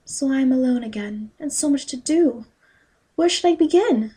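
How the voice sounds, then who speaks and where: A woman's voice: high-pitched, lively intonation, normal speed, warm tone. A woman playing a timid, dutiful young girl in a fairy-tale audio drama, meek and polite. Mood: worried.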